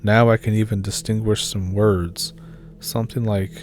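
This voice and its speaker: A man with a low, mellow voice reads horror stories aloud in a calm, subdued way. Faint music plays under his narration. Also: moderate pace. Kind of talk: reading submitted scary stories aloud.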